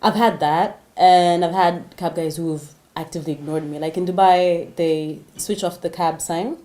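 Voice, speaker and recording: A woman's voice: fairly low pitch, fairly even intonation, moderate pace, dark tone. A woman from Africa chatting casually and telling anecdotes as a guest in a group talk. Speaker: panel guest.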